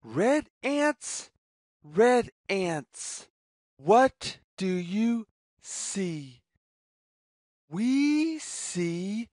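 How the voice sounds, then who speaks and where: Very slow, lively woman reading to children. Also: mid-range voice, no echo, clean studio sound. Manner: deliberate, sing-song, pausing between phrases. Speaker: storyteller reading aloud to children. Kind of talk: slow read-aloud of a children's picture book.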